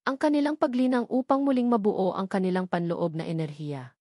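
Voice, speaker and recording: A woman reading scripted audiobook narration of an action novel in measured, formal sentences. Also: mid-range voice, steady tone, warm tone, no room sound, studio quality.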